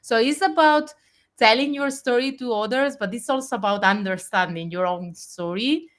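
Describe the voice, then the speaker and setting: A woman's voice: on the high side, normal speed, a bit bright. A woman in her forties hosting a live video chat, speaking in a reflective, earnest way with frequent fillers. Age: 40s. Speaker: host.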